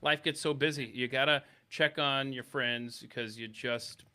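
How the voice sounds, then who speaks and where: A man's voice: medium pitch, fairly even intonation, unhurried, sharp tone, clean tone. A man hosting a live stream in casual chat, teasing and steering the conversation. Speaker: podcast live stream host.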